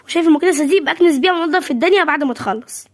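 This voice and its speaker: A woman's voice: high voice, racing, natural voice. A woman voicing a bossy middle-aged mother with an Egyptian accent, handing out chores and scolding. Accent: Egyptian Arabic.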